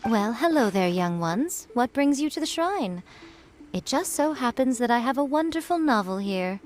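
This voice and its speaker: A woman voicing a sly, teasing shrine priestess in a video game, amused and unhurried. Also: on the high side, expressive, darkish tone, faint music behind, close to an everyday voice.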